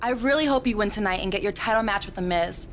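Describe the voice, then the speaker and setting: A woman's voice: mid-range voice, quick pace, slight background noise, close to an everyday voice. A woman voicing a friendly, fed-up wrestling colleague in a video game cutscene. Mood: supportive, exasperated.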